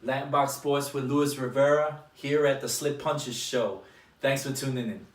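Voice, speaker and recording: A man's voice: medium pitch, fairly even intonation, normal speed, slight background noise. A man hosting a casual boxing interview, building his questions up in short, separate phrases. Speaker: boxing show host and interviewer. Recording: echoey room, distant mic.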